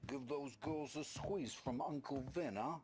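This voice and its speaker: An actor playing a sly, avuncular mob arms supplier in a video game scene. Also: male voice, medium pitch, measured pace, a little mellow, a little hiss or hum, natural voice. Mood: sly, jovial. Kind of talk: dramatic video game cutscene acting.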